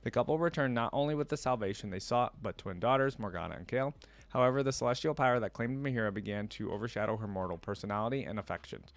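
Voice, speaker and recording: A man's voice: mid-range voice, fast, no echo. A man hosting a reaction video, reading stories aloud and adding casual commentary.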